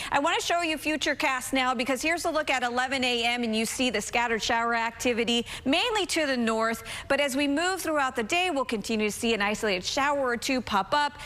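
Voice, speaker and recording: A woman forecaster delivers live television weather in a fairly high voice. She talks conversationally, ad-libbing as she goes, in a studio setting. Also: fairly bright tone. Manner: conversational, ad-libbed.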